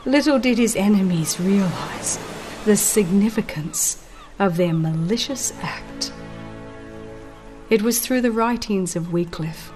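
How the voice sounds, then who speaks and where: A woman narrates an audiobook in a very slow, solemn voice of medium pitch. She phrases each thought deliberately, as in a dramatized reading of religious history. Soft music plays behind her. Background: background music.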